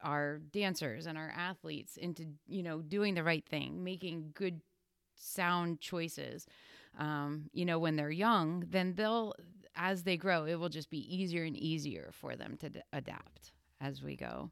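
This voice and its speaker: A woman's voice: deep voice, a little slow, gravelly, clear, resonant tone, dry recording, studio quality. A woman co-hosting a podcast as a youth dance coach, speaking in a supportive, thoughtful way. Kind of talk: casual podcast conversation.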